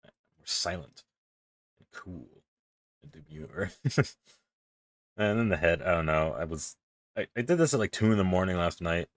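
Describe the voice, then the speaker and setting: A young man with a deep voice, casually rambling and swearing as he talks through his drawing on a stream. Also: big pitch swings, normal speed, creaky, pauses often, no echo, clean studio sound. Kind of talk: casual commentary while drawing.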